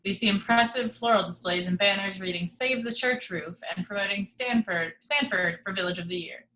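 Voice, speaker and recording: A woman's voice: mid-range voice, fairly quick, pushed and bright, slight background noise, low-quality recording. Woman reading stage directions aloud. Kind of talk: reading action description. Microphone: video call.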